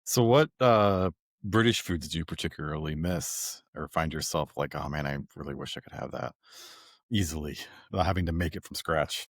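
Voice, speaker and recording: Podcast host, American man, low-pitched, casual and halting, recorded dry with no room sound. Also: no room sound. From American Midwest. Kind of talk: casual conversational interview.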